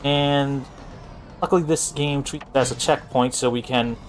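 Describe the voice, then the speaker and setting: A man's voice: medium pitch, fairly even intonation, normal speed, slight background noise. A man giving casual, rambling, explanatory live commentary as he speedruns a video game. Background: video game music and sounds.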